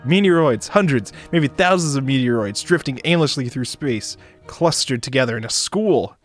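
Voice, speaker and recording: A man's voice: mid-range voice, lively intonation, moderate pace. Man reading a story aloud over music. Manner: breaks into playful asides. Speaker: streamer reading a visual novel aloud. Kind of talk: expressive read-aloud narration with ad-libs. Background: game music.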